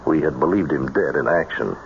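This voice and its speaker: A man's voice: a bass voice, lively intonation, fairly quick. A man acting in a radio drama as a stern, guarded intelligence colonel with a grave, official manner. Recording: dull, noisy old radio broadcast.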